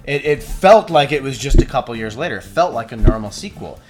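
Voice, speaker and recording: A man's voice: mid-range voice, lively intonation, brisk, music in the background. A man in his forties co-hosting a movie review, talking casually with some swearing and laughter. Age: 40s. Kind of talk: casual chat.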